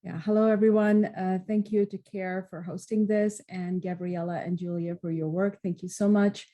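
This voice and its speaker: Mellow-voiced woman scholar on a panel. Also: lowish voice, steady tone, moderate pace. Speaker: scholar of Islamic studies, panelist. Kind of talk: explanatory academic panel talk.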